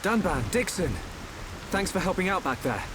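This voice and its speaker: An actor playing an earnest, determined young hero, speaking intently in game cutscene dialogue. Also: male voice, high-pitched, sing-song, normal speed, noisy background. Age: young adult.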